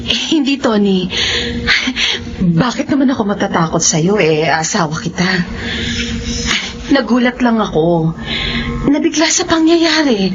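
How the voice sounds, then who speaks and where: An actress playing an emotional, stammering wife in a radio horror drama. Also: female voice, mid-range voice, big pitch swings, unhurried, pauses often, over music. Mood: uneasy. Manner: tremulous, stammering. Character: emotional wife of a man back from death. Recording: dull, band-limited radio drama sound.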